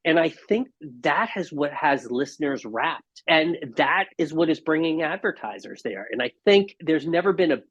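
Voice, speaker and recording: Male interview guest, husky voice, articulate explainer, slightly dull lo-fi line. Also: a little high, animated, normal speed, few pauses, fairly bright tone. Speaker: podcast guest, audio industry marketing executive. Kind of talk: conversational interview answers. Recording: dull, band-limited audio.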